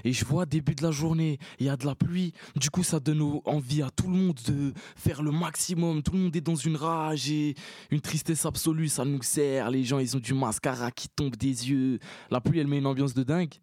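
A man's voice: a little high, fairly even intonation, moderate pace, darkish tone, dry recording, studio quality. A young man telling an anecdote in vivid, colloquial, slang-filled speech. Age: young adult. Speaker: participant in a theatre occupation.

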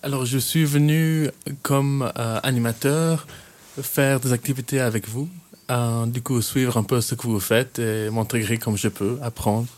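A man's voice: medium pitch, steady tone, unhurried, darkish tone. Hesitant young man in his twenties. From Kenya. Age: 20s. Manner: hesitant, many fillers and restarts. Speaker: youth worker, interview guest. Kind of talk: casual interview answers.

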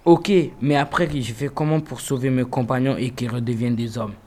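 A man's voice: medium pitch, fairly even intonation, fairly quick, a little mellow, lightly acted. A teenage boy playing a resolute Greek hero who talks like a modern, slangy youth in a school audio drama. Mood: casual, hopeful. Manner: colloquial, slangy.

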